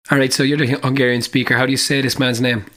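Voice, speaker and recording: A man's voice: mid-range voice, flat delivery, fairly quick, fairly bright tone. A man co-hosting a podcast, chatting casually in a dry, teasing way and laughing often. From Ireland. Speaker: podcast co-host. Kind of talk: casual chat.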